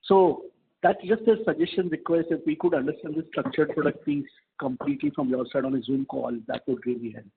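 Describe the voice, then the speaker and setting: Male investor caller, Indian accent, slightly higher voice with rasp and fry, halting delivery, muffled line. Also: fairly even intonation. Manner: halting, polite, repetitive. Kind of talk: unscripted earnings call question. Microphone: phone into conference call. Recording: dull lo-fi phone call.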